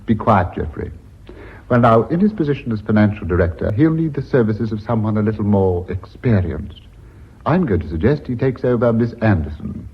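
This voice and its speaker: Low, husky, wry director. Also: male voice, expressive, a little fast. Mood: wry, assured. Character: smooth, teasing British company director. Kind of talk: radio drama acting. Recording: muffled vintage radio drama recording.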